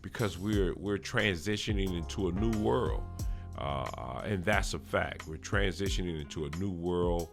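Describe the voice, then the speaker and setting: A man hosting a podcast, informally announcing an upcoming show and speaking directly to listeners in a halting way. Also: low-pitched, music in the background. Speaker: podcast host.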